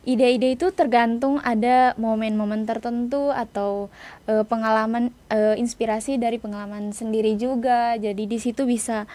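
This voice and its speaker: Young adult female interview guest, slightly high voice, informal, hesitant phrasing. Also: fairly even intonation, normal speed, dark tone. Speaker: interview guest, student writer. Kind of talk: casual interview chat.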